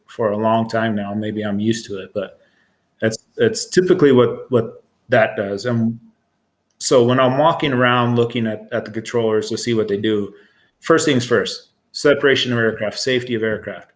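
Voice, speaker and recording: American male, 40s, mid-pitched, matter-of-fact supervisor talking informally about the job. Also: fairly even intonation, normal speed. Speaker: air traffic control supervisor. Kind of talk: informal interview explanation of own job.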